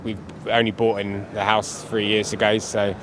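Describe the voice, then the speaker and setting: Man, monotone, resigned, matter-of-fact, street traffic noise clear. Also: fairly low pitch, normal speed. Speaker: street interviewee. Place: outdoors on a city street.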